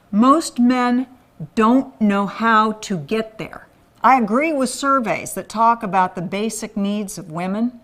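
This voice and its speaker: A woman raised in Thailand, delivering an earnest scripted appeal for a men's ministry. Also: mid-range voice. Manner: earnest, pleading.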